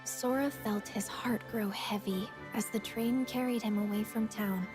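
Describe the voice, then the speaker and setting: A woman reading scripted narration for a video game story recap in a measured, solemn storytelling manner. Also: mid-range voice, steady tone, music in the background.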